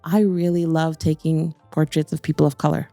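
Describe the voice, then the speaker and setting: A middle-aged woman, a photographer born in Guyana, telling her story in an interview in a reflective, unhurried way. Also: deep voice, flat delivery, vocal fry, dark tone, no echo, soft background music. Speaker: photographer guest. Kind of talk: interview conversation.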